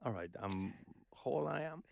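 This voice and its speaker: Podcast host, man, 50s. Gravelly, animated, teasing. Dry studio sound. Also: mid-range voice, moderate pace, no echo. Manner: teasing, playful questioner. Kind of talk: casual family conversation. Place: cozy living-room studio on a sofa. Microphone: boom-arm studio mic.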